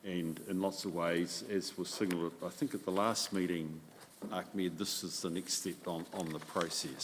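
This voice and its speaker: Very deep-voiced man chairing a meeting. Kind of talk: meeting introduction. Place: council meeting room. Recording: distant mic in a reverberant room with noise.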